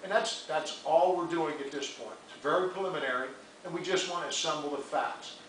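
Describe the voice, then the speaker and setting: A man's fairly high voice carries through a very echoey meeting room with clear background noise. He speaks as an official, explaining things in a careful, measured way. The microphone is some distance from him. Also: fairly bright tone. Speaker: mayor. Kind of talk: public meeting remarks, explaining.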